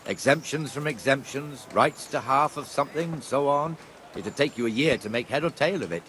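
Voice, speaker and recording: An actor playing a gruff, talkative medieval town guard in a video game, plain-spoken and bossy. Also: male voice, mid-range voice, a bit bright, slight background noise, natural voice. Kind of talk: video game dialogue acting.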